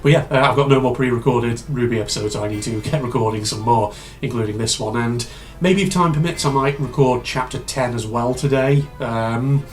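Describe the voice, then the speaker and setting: A man hosting a casual reaction video, rambling and chatty with lots of fillers, his voice slightly hoarse and congested from a cold. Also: medium pitch, a little fast, few pauses.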